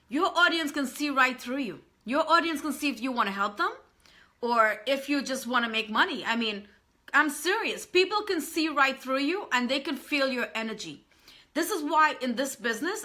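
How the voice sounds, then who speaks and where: Woman, 30s, high voice; earnest live-stream coach who keeps calling out to "guys". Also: pushed and bright. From Trinidad and Tobago. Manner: earnest, repeats herself, often calls out to "guys". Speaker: business coach. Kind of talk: live-streamed talk to viewers.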